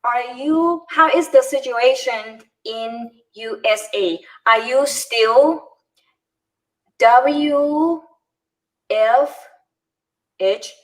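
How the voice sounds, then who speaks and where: A woman's voice: high-pitched, very slow, pauses often, low-quality recording. A woman in her thirties hosting a casual live-stream chat over a video call, laughing often as she talks. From Cambodia. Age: 30s. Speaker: host.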